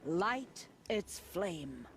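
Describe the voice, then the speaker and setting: Very slow, stern female elder. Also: on the low side, sing-song, vocal fry, slight background noise, exaggerated voice. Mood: solemn. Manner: formal, measured. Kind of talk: solemn video game ceremony.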